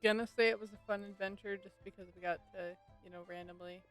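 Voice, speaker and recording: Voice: medium pitch, fairly even intonation, quick pace, a bit bright, faint music behind. A game streamer giving casual live commentary, informal and exclamatory, reacting aloud to the game.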